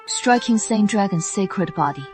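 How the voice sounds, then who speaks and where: A woman playing a proud, dignified royal clan member in an animated fantasy dub, formal and composed. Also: mid-range voice, a little slow, music in the background, natural voice. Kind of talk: English dub of animated fantasy motion comic.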